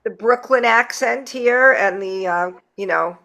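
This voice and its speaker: A woman's voice: fairly high pitch, unhurried, creaky, bright, forceful tone. A woman with a Long Island accent hosting a casual, chatty interview. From Long Island, New York. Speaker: podcast host.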